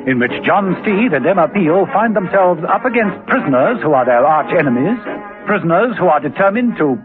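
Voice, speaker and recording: Animated male radio-drama narrator. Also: high voice, a little fast, over loud music. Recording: lo-fi old radio recording.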